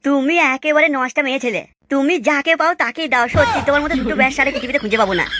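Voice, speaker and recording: A performer voicing a cheeky, lustful brother-in-law in a comedy cartoon, mock-flattering and insistent. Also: high voice, racing, very noisy, close to an everyday voice.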